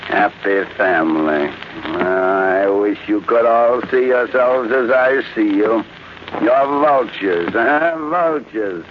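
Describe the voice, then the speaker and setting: An actor playing a bitter, mocking old family patriarch in a theatrical old-time radio drama style. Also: male voice, lowish voice. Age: older. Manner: theatrical, sardonic, drawn-out taunting. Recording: noisy muffled vintage radio recording.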